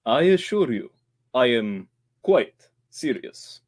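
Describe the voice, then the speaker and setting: Casting: male actor as a courteous, sinister older gentleman murderer, formal diction, quiet menace, vintage radio thriller. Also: medium pitch, big pitch swings, slow. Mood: suave menace. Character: suave menacing older male killer, politely threatening. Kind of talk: old-time radio drama acting.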